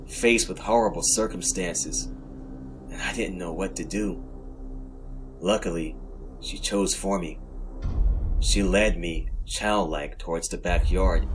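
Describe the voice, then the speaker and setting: A man with a low voice reads horror fiction in the first person as a podcast narrator. His delivery is dramatic and scripted, with music clearly playing behind him.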